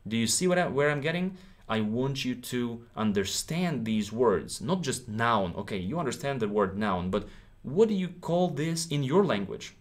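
A man's voice: medium pitch, very animated, normal speed. A man in his thirties, a native Ukrainian speaker with a Ukrainian accent, teaching English in a live stream from a small room, encouraging and checking in with his viewers often. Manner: encouraging, repeats himself, checks in with "okay?".

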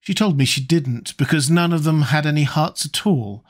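A man voicing a quiet, distracted young gentleman who murmurs his lines. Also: a little high, moderate pace, lightly acted. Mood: murmuring. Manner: soft, murmured delivery.

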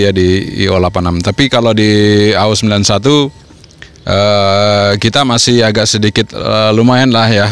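A man's voice: fairly low pitch, smooth. A man in his forties with an Indonesian accent, talking casually as an amateur radio vlogger and often repeating himself. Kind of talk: casual vlog talk and radio call-outs. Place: outdoors on a rooftop in the open air.

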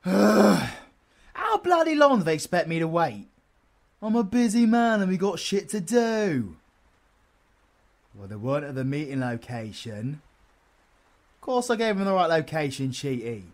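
A man's voice: fairly high pitch, big pitch swings, a little slow, gravelly, long pauses, exaggerated voice. A British man playing a bossy, cheeky supervillain in an audio roleplay, swearing and teasing in slangy banter. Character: cheeky, foul-mouthed, bossy male supervillain.

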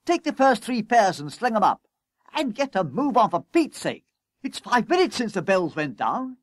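A man voicing a bossy, frantic leading fireman in a story, shouting urgent orders. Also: sits high, sing-song, fast, dry recording, pitched above the performer's own voice. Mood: impatient.

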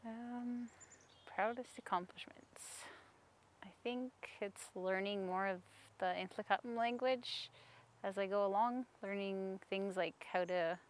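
Soft-spoken woman losing her voice. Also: medium pitch, fairly even intonation, takes it slowly. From Canada. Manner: soft-spoken, hesitant with frequent ums. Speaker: staff member interviewee. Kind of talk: casual interview answers. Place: outdoors in a sunny park.

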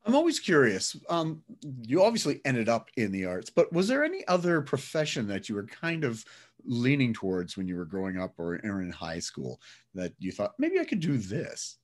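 A man's voice: mid-range voice, huge pitch swings, normal speed. A man hosting a radio interview, asking questions in a chatty, curious way. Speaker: radio show host.

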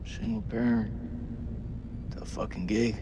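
An actor playing a blunt, foul-mouthed soldier in a video game, curt, sardonic and casual. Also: male voice, on the low side, steady tone, takes it slowly, background noise. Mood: wry. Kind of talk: cinematic video game dialogue.

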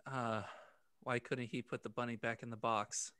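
A man's voice: medium pitch, level tone, normal speed, fairly bright tone, smooth, no echo. A young adult man co-hosting a podcast in casual banter. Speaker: podcast co-host. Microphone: desk microphone with headphones, video call.

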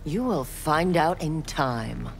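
Deep-voiced, stern ninja enforcer. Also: female voice, lively intonation, slow pace, vocal fry, exaggerated voice. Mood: cold, reproachful. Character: stern, loyal female armored ninja enforcer. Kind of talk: scripted video game dialogue. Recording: music behind the voice.

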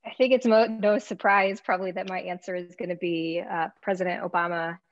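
A young woman speaking as a panelist over a video call from home, chatty and quick to laugh. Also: on the low side, fairly even intonation, dry recording. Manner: chatty, laughs easily, uses "like" and "right". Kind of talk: casual panel talk. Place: home room. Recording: dull, dry video call audio.

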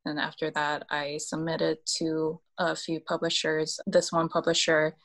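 A woman's voice: deep voice, monotone, a little slow, fairly bright tone. A young woman illustrator from Korea, raised in Hong Kong, talking hesitantly with small laughs on a video call from a small room. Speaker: author and illustrator. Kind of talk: casual panel talk. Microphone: video call on laptop.

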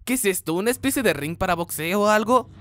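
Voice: medium pitch, lively intonation, quick pace, fairly bright tone, slight background noise, close to an everyday voice. A performer voicing a small cocky boy rapper in a cartoon dub, with a boastful, juvenile manner. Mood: mocking. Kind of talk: fan-dub cartoon acting in Spanish. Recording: music behind the voice.

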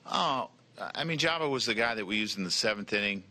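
A man in his forties, a baseball manager, answering reporters at a press conference table mic, measured and often repeating words as he starts. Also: lowish voice, animated, bright, forceful tone, slight background noise. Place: press room in front of a team backdrop. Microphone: table mic with a logo flag.

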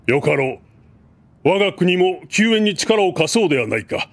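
An actor playing a dignified middle-aged king who speaks in a formal, measured way in an anime drama CD. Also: high-pitched, sing-song. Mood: stately.

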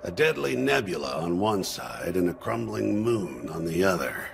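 Cautious military officer for a sci-fi game: gravelly, deliberate, grim, faint background noise. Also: male voice, deep voice, sing-song, full character voice. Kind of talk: video game cutscene acting.

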